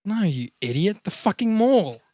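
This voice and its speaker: A man's voice: high-pitched, sing-song, moderate pace, dark tone, dry recording, studio quality, voice pushed up. A man playing a cocky, crude young slacker in a comedy table read, emphatic, sweary and coaxing. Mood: exasperated.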